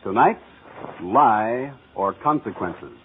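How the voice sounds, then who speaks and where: Animated male vintage radio announcer. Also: on the low side, takes it slowly, phone-quality sound, noisy background. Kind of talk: 1940s radio sponsor announcement. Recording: thin old radio recording, loud music.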